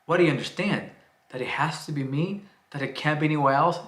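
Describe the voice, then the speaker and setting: A man with a Cuban accent doing an impression of a gruff, grumpy male footballer, terse and blunt. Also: a little high, fast, a bit bright, voice pushed up, natural voice. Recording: roomy echo.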